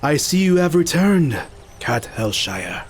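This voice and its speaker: A man's voice: fairly high pitch, big pitch swings, slow pace, husky, heavily acted. A man acting a grave elderly village musician. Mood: urgent, grave. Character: urgent, formal elderly male village musician. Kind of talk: audio drama dialogue.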